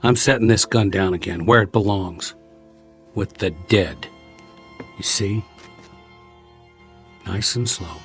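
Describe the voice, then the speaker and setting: An actor playing a world-weary, wary soldier who survived a war, talking in a colloquial, rambling way in a radio drama. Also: male voice, fairly low pitch, takes it slowly, pauses often, soft background music. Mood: resigned, wry.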